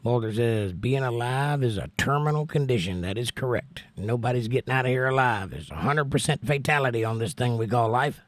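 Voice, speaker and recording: An American man hosting a casual livestream and reading out viewer comments, hoarse from laryngitis, folksy and joking with preachy asides. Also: mid-range voice, expressive, fairly quick, few pauses, no room sound. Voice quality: hoarse, strained. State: laryngitis, losing his voice. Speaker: livestream host.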